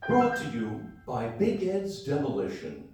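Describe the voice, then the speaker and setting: A man's voice: medium pitch, huge pitch swings, measured pace, darkish tone, faint music behind. A man co-hosting a live comedy radio show on stage, reading scripted mock announcements. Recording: echoey hall stage, distant mic.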